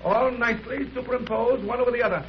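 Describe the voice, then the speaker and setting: A confident detective in a 1930s radio play, brisk and wryly condescending. His high-pitched voice has a gravelly edge. The old recording is muffled under steady noise. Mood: absorbed, eager. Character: keen, confident male detective with dry teasing wit. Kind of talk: 1930s radio drama acting.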